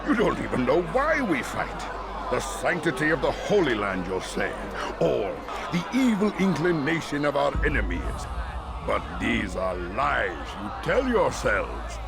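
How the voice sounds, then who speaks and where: Grandiose, creaky-voiced resentful lord. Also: male voice, medium pitch, sing-song, very noisy. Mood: wounded, indignant. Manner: grandiose, drawn-out, mock-courteous oratory. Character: decadent, resentful male merchant lord addressing guests. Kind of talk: dramatic video game cutscene acting.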